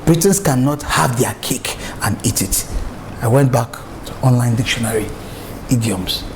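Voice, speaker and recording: A man's voice: mid-range voice, moderate pace, noisy background. A middle-aged Nigerian man from Lagos, giving an emphatic, story-filled lesson on public speaking. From Nigeria, raised in Lagos. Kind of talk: lively spoken lecture with anecdotes.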